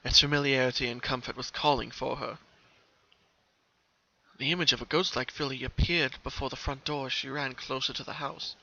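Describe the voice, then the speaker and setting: A male narrator gives a dramatic reading of a story. His voice is mid-pitched, bright and intense, captured on a somewhat dull, lo-fi home recording. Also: fairly even intonation, normal speed, gravelly. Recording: slightly muffled lo-fi home recording.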